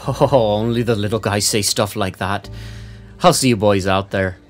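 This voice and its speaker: A man's voice: fairly low pitch, normal speed, soft background music, close to an everyday voice. A man playing a brusque, wisecracking veteran police sergeant in a noir audio drama, slangy and no-nonsense. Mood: bossy, ribbing.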